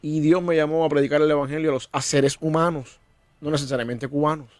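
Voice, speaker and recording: A Cuban-born pastor speaking in an earnest, preacherly way, often doubling words as he starts a thought. His voice sits a little high for a man. The sound is dry and close, with no room echo. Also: fairly even intonation, fast, a little mellow. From Cuba. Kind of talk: conversational discussion.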